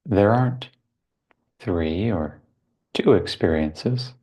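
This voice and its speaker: A man with a low, husky voice guides a meditation at an extremely slow pace. He delivers short, contemplative phrases and leaves long silences between them, with a creaky edge to his tone. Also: expressive, warm tone.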